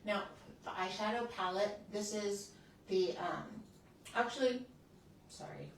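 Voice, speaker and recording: A woman's voice: medium pitch, expressive, slow, heavy reverb, distant mic, slight background noise, poor audio. A woman in her sixties who hosts a beauty channel, chatting casually through a makeup tutorial and often restarting her sentences as she works. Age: 60s.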